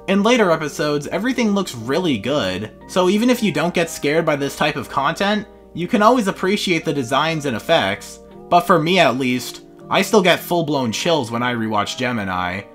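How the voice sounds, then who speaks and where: A man's voice: a little high, animated, normal speed, hardly any pauses, a bit bright, faint music behind. A man narrating a scripted video essay in an informal, opinionated way.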